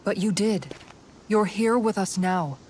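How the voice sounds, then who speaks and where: A woman's voice: medium pitch, unhurried, a little mellow, a little hiss or hum, lightly acted. A woman playing a steadfast, compassionate warrior in a video game, speaking firmly and sincerely. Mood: earnest comfort. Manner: firm, sincere. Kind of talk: dramatic video game acting.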